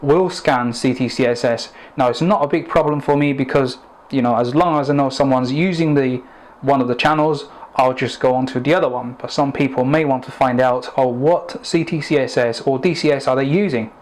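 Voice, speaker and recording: A man narrating an informal product review, explaining things in a hesitant, halting way. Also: mid-range voice.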